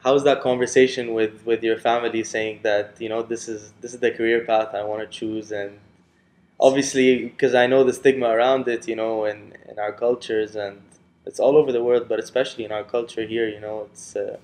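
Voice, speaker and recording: Flat-voiced easygoing man hosting. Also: lowish voice, fairly quick. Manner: easygoing, says "bro", lots of yeah. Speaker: podcast host. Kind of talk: casual podcast interview.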